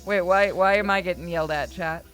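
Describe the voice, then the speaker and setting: A woman's voice: on the low side, brisk, faint music behind. A woman reading a story aloud expressively as a streamer, with casual asides. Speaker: streamer reading a visual novel aloud to chat.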